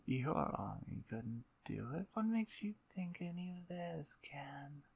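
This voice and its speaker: Woman acting a breathy, slow-talking vampire conqueror. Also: sits low, sing-song, a little mellow, heavily acted. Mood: defiant, menacing. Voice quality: breathy, often near a whisper. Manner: imperious, taunting, deliberate. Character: dominant, playful, menacing female vampire conqueror. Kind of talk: ASMR roleplay acting.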